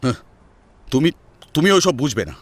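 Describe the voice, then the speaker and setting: Man playing a curt, domineering husband, animated pitch. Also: moderate pace, no echo. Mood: annoyed, scolding. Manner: curt, scolding. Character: domineering, grudging eldest brother and husband, curt and disapproving. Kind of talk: Bengali audio story acting.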